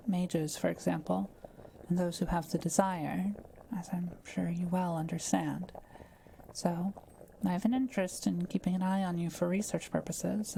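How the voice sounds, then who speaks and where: A kindly, curious witch acted by a woman with a soft, dark-toned voice that sits a little low. She speaks in gentle, measured, politely formal phrases, as in an intimate audio roleplay, with faint ambient noise behind her. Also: creaky, rough recording. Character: kind, curious forest witch, soft-spoken and politely wry. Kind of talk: intimate scripted audio roleplay addressed to the listener.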